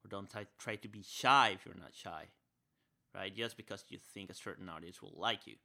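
Bright-toned young male podcast host. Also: on the low side, a little fast, creaky. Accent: Spanish-speaker's accent. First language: Spanish. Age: young adult. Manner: energetic, repeats key phrases, says "right?" often. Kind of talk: podcast interview and solo wrap-up.

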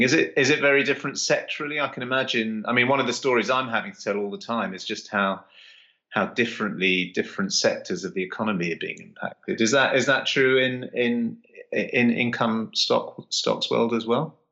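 A man's voice: mid-range voice, brisk, bright, forceful tone. A man hosting an interview, hesitant and affirming, often repeating his words as he asks questions. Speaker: interview host. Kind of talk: conversational market interview. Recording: dull lo-fi audio.

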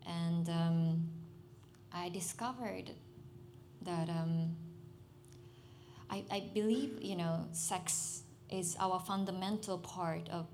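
A young Japanese woman speaks English carefully and earnestly. She pauses often to find her words, and her delivery stays soft and halting. Faint noise sits under her voice. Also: fairly low pitch, steady tone. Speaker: speaker at a literary festival. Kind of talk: personal testimony on stage.

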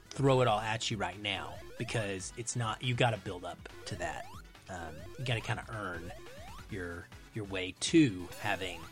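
A man's voice: fairly low pitch, a bit bright. A man appearing as a podcast guest, discussing films casually in an earnest, explanatory way.

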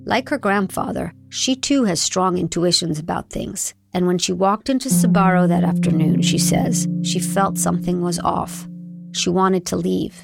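A woman with a lowish, gravelly voice narrates a documentary story. She speaks quietly and reflectively, and loud music runs beneath her. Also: normal speed. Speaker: journalist narrator. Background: music bed.

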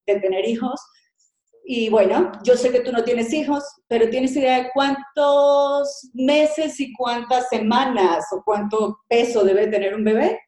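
A middle-aged woman born in Colombia, a cake designer, telling her story in a lively conversational way and acting out little dialogues. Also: fairly high pitch, reverberant, poor audio. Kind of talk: conversational interview.

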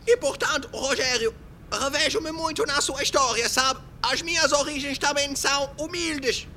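A man's voice: very high-pitched, steady tone, moderate pace, bright, forceful tone, a little hiss or hum, exaggerated voice. A man playing a pompous, chummy factory director in a comedy sketch, grandiose and over-friendly. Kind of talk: exaggerated comedy sketch acting.

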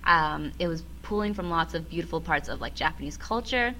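Woman cohost, vietnamese first language, bright lowish voice, rambly chatty storyteller, faint hiss behind. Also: animated, brisk, low-quality recording. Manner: chatty, loose storytelling with many fillers. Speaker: podcast co-host. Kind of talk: casual chat.

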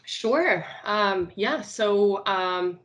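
A woman from Tunisia whose first language is Arabic, answering interview questions in a casual, unhurried way with many filler sounds. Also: mid-range voice, animated, fairly bright tone. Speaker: finance recruiter, podcast guest.

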